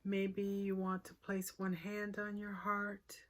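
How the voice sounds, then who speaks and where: A woman leading a guided meditation as a teacher, giving short, unhurried cues with pauses and a soothing, gently inviting manner. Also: mid-range voice, completely flat delivery, a little hiss or hum.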